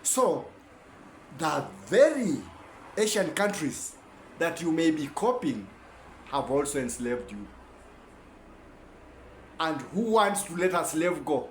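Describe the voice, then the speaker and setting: A man's voice: big pitch swings, slow, long pauses. A man giving a rambling, preachy talk full of rhetorical questions. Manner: preachy, rhetorical questions, wide swings in pitch and loudness. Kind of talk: rambling lecture. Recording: echoey room, faint background noise.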